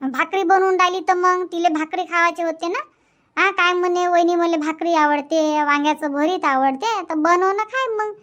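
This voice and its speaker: A woman's voice: very high voice, normal speed, fairly bright tone, lo-fi sound. A woman voicing a nagging, thrifty cartoon village wife with a Varhadi Marathi accent, complaining and sarcastic. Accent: Varhadi Marathi from Vidarbha. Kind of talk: dubbed cartoon comedy dialogue.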